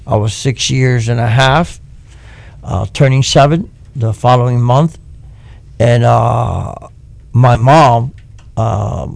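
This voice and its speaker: Male radio guest, fifties, earnest religious testimony, gravelly and dry. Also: medium pitch, a little mellow, no echo. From Cuba and the United States. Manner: earnest, quotes scripture. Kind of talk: personal testimony on a radio show.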